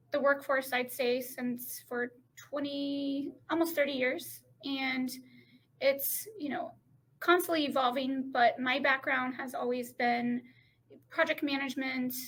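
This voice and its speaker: A middle-aged woman speaking as a podcast guest in a halting, unhurried way, pausing often to find her words. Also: high voice, fairly bright tone. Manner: halting, frequent pauses and restarts. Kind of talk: casual interview talk.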